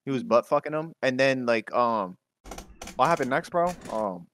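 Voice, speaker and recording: A young man from the DMV area on an online call, trolling with a deadpan, filler-heavy delivery. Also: mid-range voice, moderate pace, slight background noise. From DMV area, USA. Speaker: caller on a stream. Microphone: online call mic.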